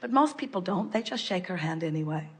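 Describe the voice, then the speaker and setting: An older woman giving a measured, explanatory seminar talk, referring to herself in the third person. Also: on the low side, lively intonation. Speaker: spiritual speaker answering a guest. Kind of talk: live seminar channeling talk.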